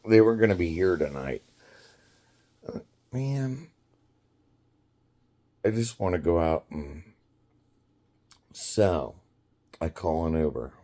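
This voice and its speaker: A man in his fifties tells a story in an unhurried, very deep voice. He rambles and stops to restart sentences, and laughter keeps slipping into his talk. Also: mellow. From United States. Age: 50s. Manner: rambling, halting, quick to laugh. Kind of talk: casual storytelling.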